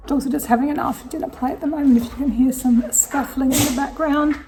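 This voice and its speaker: Chatty middle-aged Australian woman, rather high. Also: steady tone, normal speed, a little hiss or hum. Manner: chatty, rambling, thinking aloud with soft laughs. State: slightly croaky voice. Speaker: vlogger. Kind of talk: casual day-in-the-life vlog narration. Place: home kitchen.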